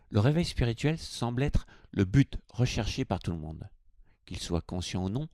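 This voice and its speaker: A low male voice with noticeable creak, recorded very dry and close-sounding. He speaks as the host of an online video, presenting to his viewers. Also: a little mellow, no echo. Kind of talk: informal video greeting, then scripted explanatory narration.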